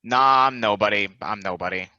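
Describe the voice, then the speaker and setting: A man's voice: on the low side, normal speed, sharp tone, no echo. A man playing a self-deprecating, philosophical and restless immortal football player, rambling confidingly in a casual stage play reading. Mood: wry, resigned. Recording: dry, slightly dull recording.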